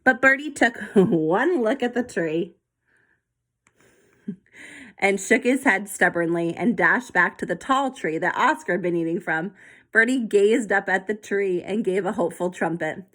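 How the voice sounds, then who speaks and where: A woman reading a children's story aloud with an expressive, animated delivery. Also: medium pitch, unhurried. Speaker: storybook reader.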